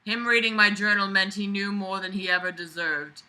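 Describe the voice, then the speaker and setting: Sharp, exasperated reader with a blunt mouth. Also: medium pitch, fairly even intonation, normal speed, low-quality recording. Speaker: reader reacting to a book. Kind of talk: reading aloud with sarcastic running commentary.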